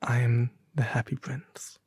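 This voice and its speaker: A man as a breathy, mournful prince statue. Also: medium pitch, little pitch movement, unhurried, a little mellow, no room sound, studio quality. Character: sorrowful, gentle gilded prince statue, low musical voice. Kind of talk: soft storybook character acting.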